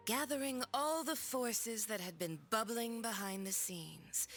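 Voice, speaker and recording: A woman's voice: fairly high pitch, takes it slowly, fairly bright tone, soft background music. An actress playing a haughty, sardonic female villain in a video game, mocking, condescending and coldly commanding. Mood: smug. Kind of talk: video game cutscene acting.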